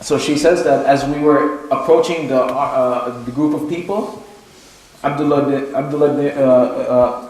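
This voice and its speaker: A man giving a religious lecture as a teacher, reading Arabic text and explaining it as a story in halting, hesitant English. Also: medium pitch, darkish tone. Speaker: Islamic teacher giving a lesson. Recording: very echoey, reverberant room.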